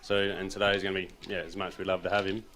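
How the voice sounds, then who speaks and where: A man's voice: sits low, fast talker. A man with an Australian accent, an assistant footy coach chatting casually and rambling a little in an interview. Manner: relaxed, rambling, says he has a loud voice.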